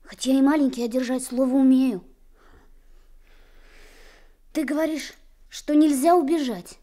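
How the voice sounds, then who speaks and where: An earnest little boy of a gentle household in a Soviet radio play. The voice is very high and mellow, and takes its time over the words. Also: a little slow. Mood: earnest, eager. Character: earnest, kind-hearted little boy, an admiral's son. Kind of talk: Soviet radio drama acting.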